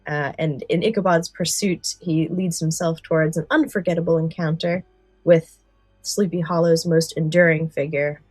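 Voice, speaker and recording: Female podcast host, notably low voice. Engaged and explanatory, part scripted, part conversational, recorded dry. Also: steady tone, normal speed, darkish tone, slightly rough, dry recording, faint music behind. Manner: enthusiastic, explanatory. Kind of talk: podcast discussion, part prepared book summary.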